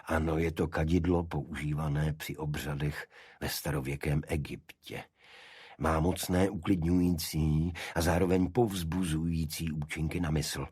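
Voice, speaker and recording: A man voicing a small, dignified aristocratic cult leader in an audiobook, with a deep voice and a monotone, chant-like delivery. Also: husky, no echo, clean studio sound. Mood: proud, pleased. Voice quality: unexpectedly deep. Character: small energetic nobleman, cult leader, dignified, secretive zealot.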